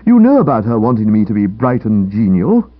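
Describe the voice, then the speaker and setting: A man's voice: on the low side, huge pitch swings, brisk, dry recording. A man voicing a gloomy, self-pitying young upper-class English writer in a comic audiobook, grumbling theatrically. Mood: aggrieved, dramatic. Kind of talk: comic audiobook character dialogue. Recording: dull lo-fi radio-style recording.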